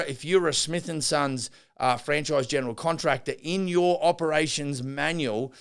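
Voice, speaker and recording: Gravelly, smooth-voiced rambling podcast man. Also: a little high, fairly bright tone. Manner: rambling, many restarts and fillers. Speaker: podcast host and builder. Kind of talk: unscripted business podcast monologue.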